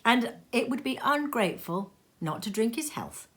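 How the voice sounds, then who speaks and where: A woman's voice: a little high, sing-song, moderate pace, a bit bright, pitched above the performer's own voice. A woman voicing a jolly, good-humoured young nephew in a storybook, with a hearty toasting delivery. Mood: hearty, merry.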